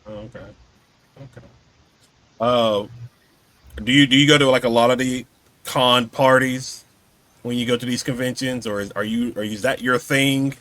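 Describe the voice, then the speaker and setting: Halting man hosting a casual podcast. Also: medium pitch, steady tone, fairly bright tone. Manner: halting, often rewords questions. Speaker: podcast host, interviewer. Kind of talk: casual interview questions.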